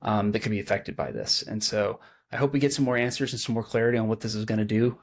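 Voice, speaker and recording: A man's voice: on the low side, fast. A man hosting a local news podcast, chatting casually and working through numbers aloud. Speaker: podcast host. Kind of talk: casual chat.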